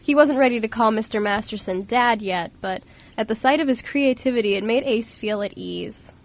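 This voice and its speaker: A woman's voice: fairly high pitch, moderate pace. A woman reading scripted storybook narration as the narrator of an amateur radio drama.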